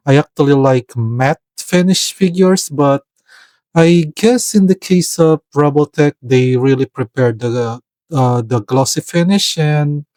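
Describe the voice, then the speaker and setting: A man talks through a figure review at an ordinary, middle pitch. He speaks with care and often pauses to find the right word. The recording is dry, with no room sound. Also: a little mellow, dry recording. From Philippines. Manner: hesitant, searching for words, careful. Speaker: toy reviewer. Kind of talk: product review voice-over.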